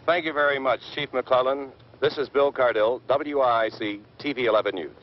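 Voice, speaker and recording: Actor as earnest American field reporter, muffled track. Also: male voice, medium pitch, steady tone, moderate pace, natural voice. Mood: earnest, inquiring. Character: earnest male TV field reporter questioning a sheriff. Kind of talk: on-location news interview. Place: outdoors. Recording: dull, noisy old outdoor film sound.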